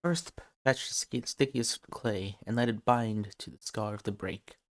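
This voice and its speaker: A man's voice: lowish voice, a little slow. A man giving casual playthrough commentary as a game streamer. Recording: game music behind the voice.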